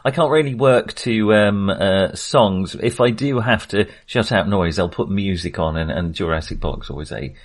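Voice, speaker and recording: A middle-aged man with a fairly low voice chats casually as a film podcast co-host. He speaks haltingly, circling back and restarting as he goes. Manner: hesitant, halting delivery with false starts; chuckles often while talking.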